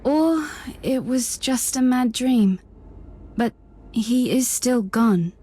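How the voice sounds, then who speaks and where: Captive young psychic in a sci-fi game: guarded, brooding, dark husky tone, fairly high pitch. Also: female voice, animated, slow pace, heavily acted. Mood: haunted. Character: wary, troubled young female psychic outcast held captive. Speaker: game character. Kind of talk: dramatic video game cutscene acting.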